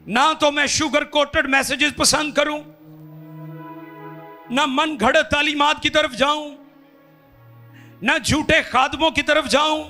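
Preacher guy on a church stage, handheld mic, high gravelly voice, gets loud and fired up. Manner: impassioned preaching, rising to loud climaxes. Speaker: pastor. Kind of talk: sermon. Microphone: handheld microphone.

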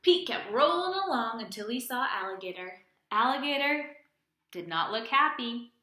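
A young woman reading a picture book aloud like a teacher, animated and asking children simple questions. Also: on the high side, normal speed, echoey room. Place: small indoor room with plain wall.

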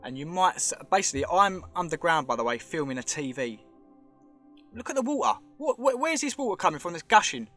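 Man, bright forceful voice, rambling casual commentary, handheld camera in a cave, faint music behind. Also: fairly high pitch, sing-song, a little fast. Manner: chatty, rambling, speculative, points things out. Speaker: vlogger exploring a show cave. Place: underground cave tunnel.

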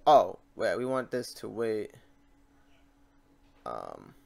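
A man hosting a software tutorial, talking casually and thinking aloud as he works through steps and mistakes. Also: on the low side, huge pitch swings, takes it slowly, vocal fry. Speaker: tutorial host.

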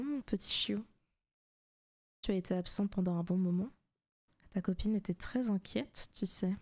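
Soft, teasing demon girlfriend acted by a woman. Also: mid-range voice, lightly acted. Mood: coaxing, teasing. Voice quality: soft, often breaking into whispers. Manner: teasing, coaxing, possessive. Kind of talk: intimate ASMR roleplay.

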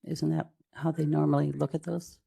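A woman's voice: low-pitched, little pitch movement, normal speed, creaky, mellow, slightly rough. A woman speaking informally as a committee chair in a meeting, conversational and repeating words for emphasis. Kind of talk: informal spoken report in a meeting. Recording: public meeting room recording.

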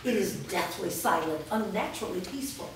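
A woman reads scripted stage directions in a measured, descriptive way. She sounds far from the microphone in a very echoey room, with faint noise behind her voice. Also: medium pitch, animated. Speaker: narrator reading stage directions. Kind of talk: scripted narration at a staged reading. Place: large bare room with small audience, indoors. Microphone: distant camera microphone.

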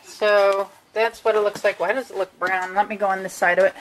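A woman's voice: medium pitch, flat delivery, slight background noise, poor audio. A middle-aged woman narrating a casual home video of a family dinner, listing the food with many fillers, on a handheld camera. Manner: chatty, slow, lists food with fillers. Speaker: vlogger filming family dinner. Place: family kitchen and dining room indoors.

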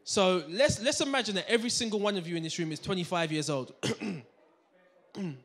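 A man gives a seminar talk on a handheld mic in a community hall. He speaks fast in a slightly high voice, animated and keen to draw in the crowd. He keeps checking in with "right? yeah?" as he goes. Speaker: finance speaker. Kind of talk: lively seminar talk to an audience. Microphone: handheld microphone.

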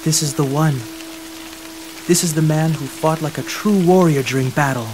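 An actor playing a brash, ambitious young samurai warlord with boastful, declamatory delivery in a video game. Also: male voice, a little high, moderate pace, noisy background, lightly acted. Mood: brooding. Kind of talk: dramatic video game acting.

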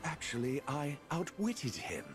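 An actor playing a smug, scheming game villain who talks in a courtly, mocking, deliberate way. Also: male voice, on the high side, big pitch swings, faint music behind. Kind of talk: video game villain acting.

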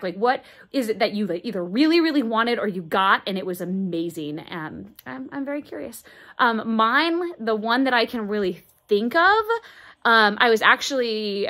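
A woman in her thirties talking into a phone held at arm's length in her bedroom, pausing often and searching for words. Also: mid-range voice, a bit bright. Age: 30s. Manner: halting, searching for words, many fillers. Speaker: vlogger. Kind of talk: casual daily video diary. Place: bedroom indoors, moving boxes behind.

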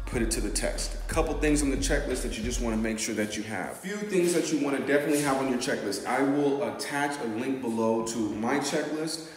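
A man in his thirties giving real estate tips to the camera in an upbeat, chatty way, filming himself on a phone held at arm's length as he walks through an empty house. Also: medium pitch. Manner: upbeat, chatty, emphatic repetition. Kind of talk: walkthrough vlog, tips to camera. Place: empty house, bare rooms. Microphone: handheld phone at arm's length. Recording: echoey empty rooms with long reverb.

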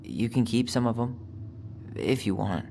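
A man's voice: medium pitch, expressive, a little slow, gravelly, warm tone, slight background noise. An actor playing an easygoing, sensitive young American man in a video game, speaking casually and a little wistfully. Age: young adult. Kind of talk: naturalistic video game dialogue.

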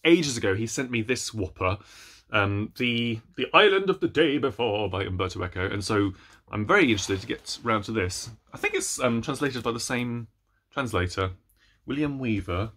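A man in his thirties chatting casually to camera as a book vlogger, playful, rambling and animated, with self-deprecating asides. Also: medium pitch, normal speed. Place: small room at home with bookshelves.

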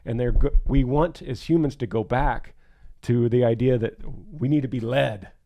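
A man's voice: medium pitch, moderate pace, mellow. A man co-hosting a podcast, talking in an earnest, emphatic and reflective way. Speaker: podcast co-host. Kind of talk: casual podcast talk.

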